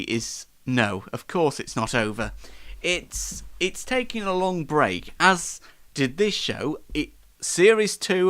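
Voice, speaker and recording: Man chatting with very lively pitch. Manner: rambling, self-deprecating, chatty. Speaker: host of an online show. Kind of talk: casual live monologue to listeners.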